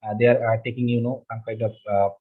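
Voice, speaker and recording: A man from Bangladesh whose first language is Bengali, presenting slides in a webinar, halting with many fillers and restarts. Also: medium pitch, flat delivery. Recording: husky, phone-like online call audio.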